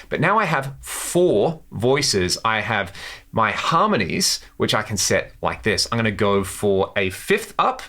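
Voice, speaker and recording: Bright, low-pitched man presenting a tutorial. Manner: enthusiastic. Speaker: guitar tutorial host. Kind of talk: casual instructional demo to camera.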